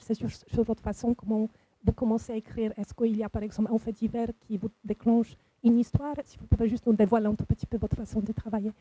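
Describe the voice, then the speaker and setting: Polite woman with a flat, dark voice. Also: on the high side, slightly rough, rough recording. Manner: polite, orderly, methodical questioning. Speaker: audience member. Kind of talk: asking questions at a talk.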